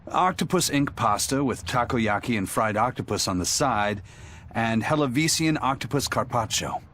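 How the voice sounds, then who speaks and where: A man playing a gruff, laconic tough guy with a soft side in an anime game dub, terse and low-key. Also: expressive, normal speed, a little hiss or hum, close to an everyday voice. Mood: matter-of-fact.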